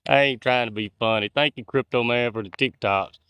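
Guy in his 40s, folksy rambling livestream chat, clear smooth voice, dry close phone sound out in the woods at night. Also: medium pitch, moderate pace, a bit bright, dry recording. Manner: chatty, folksy, reads out viewer comments. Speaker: outdoors livestreamer. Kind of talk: casual live-stream chat with viewers. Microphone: phone on a handheld gimbal.

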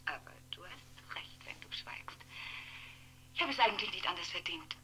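An actress playing a wistful, rambling former lover on the telephone in a 1960s radio play. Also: female voice, lowish voice, moderate pace, whispered. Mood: wistful, apologetic. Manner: rambling, digressive, expressive. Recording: humming old radio play, telephone sound.

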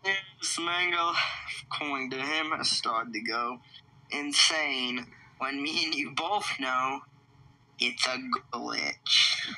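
A man's voice: mid-range voice, slow, sharp tone, low-quality recording. A man roleplaying a taunting, short-tempered animatronic villain in a casual online game, speaking with menace. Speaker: player in a Minecraft roleplay. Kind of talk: in-character gaming roleplay with friends.

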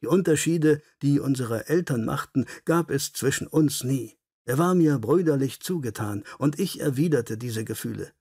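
A man's voice: medium pitch, lively intonation, normal speed, a little mellow, husky, no room sound, studio quality. A man voicing an earnest, melancholy, gracious English lord in an audiobook, formal, sincere and dignified. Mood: sad, earnest.

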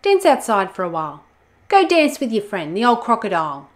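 A woman voicing a bossy, dismissive mother brolga in a storybook, scolding briskly and loudly. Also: on the high side, very animated, voice pushed up. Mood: exasperated. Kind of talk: storybook character voice in a read-aloud.

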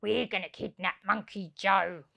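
A woman's voice: medium pitch, steady tone, unhurried, creaky, sharp tone, exaggerated voice. A woman voicing a gleeful, scheming male burglar from a children's storybook, with a conspiratorial manner. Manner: conspiratorial, relishing the plan.

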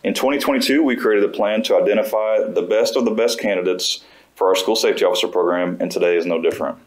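A man's voice: lowish voice, fast, low-quality recording. A middle-aged man at a podium in a school board room, reading formal prepared remarks about a new hire. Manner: reads steadily from notes, formal. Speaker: school district safety administrator presenting a hire. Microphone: podium microphone.

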